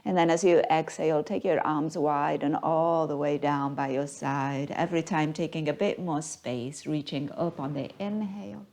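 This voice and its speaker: A woman guiding a yoga class as the teacher, speaking in a gentle, unhurried, soothing manner. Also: low voice. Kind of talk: guided yoga class instruction.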